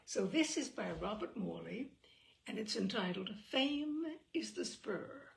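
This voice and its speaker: A woman host reading a humorous literary essay aloud with theatrical, deliberate, carefully phrased delivery. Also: mid-range voice, lively intonation, gravelly. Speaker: host and reader. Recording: roomy echo, distant microphone.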